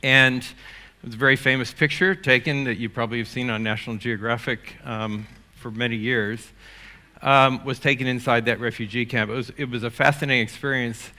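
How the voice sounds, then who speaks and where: An older man from the United States, giving a conference talk in an energetic, rambling way with many fillers and restarts. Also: medium pitch, a little fast. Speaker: conference speaker. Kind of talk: keynote talk. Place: conference stage.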